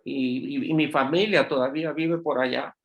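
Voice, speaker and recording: Earnest man from Honduras, fairly high voice. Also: fairly even intonation, moderate pace, lo-fi sound. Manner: earnest, restarts and repeats words. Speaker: panelist physician. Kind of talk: panel discussion remarks.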